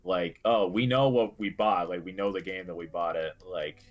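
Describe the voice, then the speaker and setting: Deep-voiced man co-hosting a gaming podcast. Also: fairly even intonation, normal speed. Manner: informal, conversational. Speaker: podcast co-host. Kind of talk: casual gaming podcast chat.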